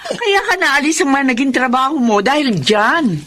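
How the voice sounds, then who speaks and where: A woman's voice: sits high, big pitch swings, normal speed, fairly bright tone, a little hiss or hum. A woman playing a worried, nagging wife, reproachful and exasperated, in a Tagalog radio drama.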